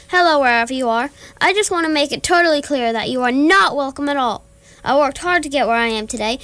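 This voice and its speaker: Woman acting a bullying alien starship captain, high-pitched. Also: a bit bright, no echo. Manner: pompous, curt announcement delivery. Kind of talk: amateur radio theatre performance.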